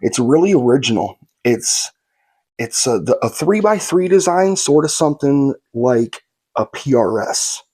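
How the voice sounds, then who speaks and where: A man's voice: mid-range voice, very animated, unhurried, dark tone, slightly rough. A man narrating a how-to build in a laid-back, folksy way while he works, sniffly from a slight cold. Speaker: guitar kit builder.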